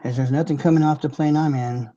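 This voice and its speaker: A man's voice: a little high, fairly even intonation, quick pace, warm tone. A man hosting a live stream, chatting casually in a rambling, laid-back way and chuckling often. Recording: dull lo-fi stream audio.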